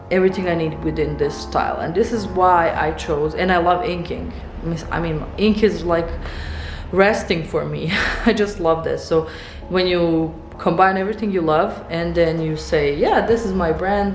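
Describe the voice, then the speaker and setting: Woman in her thirties, Serbian native speaker, low voice, hesitant musing vlog delivery, roomy studio sound. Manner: reflective, hesitant, many fillers, laughs softly. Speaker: illustrator vlogger. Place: studio room indoors.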